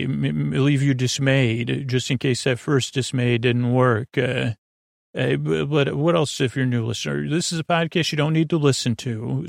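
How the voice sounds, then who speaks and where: A man hosting a sleep podcast, talking in a meandering, gentle way with many restarts and fillers. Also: medium pitch, vocal fry, dry recording, clean studio sound. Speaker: podcast host. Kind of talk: rambling bedtime podcast intro.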